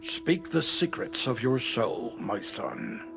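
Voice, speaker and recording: An actor playing a solemn, calculating male priest, giving measured instruction in game drama. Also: mid-range voice, animated, creaky, over music.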